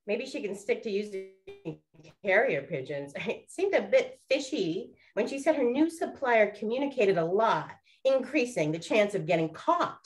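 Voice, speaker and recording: A woman playing a cheeky young 1920s detective in a period drama, teasing her sister with animated, wisecracking delivery. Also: medium pitch, normal speed, faint music behind, lightly acted. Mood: dry, amused. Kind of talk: scripted period radio drama acting.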